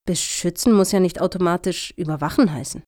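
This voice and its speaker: A woman's voice: on the low side, a little fast, a little mellow, close to an everyday voice. An actress playing a tart female rival applicant in a satirical radio play, giving short pointed retorts.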